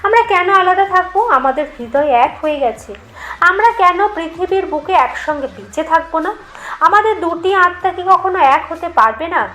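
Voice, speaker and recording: Woman reading as an ardent, pleading young man, high-pitched. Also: animated, moderate pace, bright, forceful tone, full character voice, low-quality recording. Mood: earnest pleading. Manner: fervent, pleading declarations. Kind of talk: storybook character reading.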